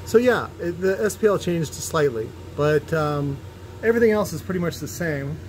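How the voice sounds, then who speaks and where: A man giving casual, unscripted technical commentary as he demonstrates tests, halting and thinking aloud in an opinionated way. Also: a little high, animated, darkish tone. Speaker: host demonstrating speaker tests. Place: indoor workshop at a cluttered bench. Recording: noisy workshop with background hum.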